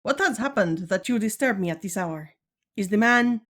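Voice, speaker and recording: A woman's voice: medium pitch, expressive, moderate pace, fairly bright tone. A woman playing a regal, stern but caring Amazon queen and mother in a 1940s-style radio serial, formal and commanding. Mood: grave, resigned.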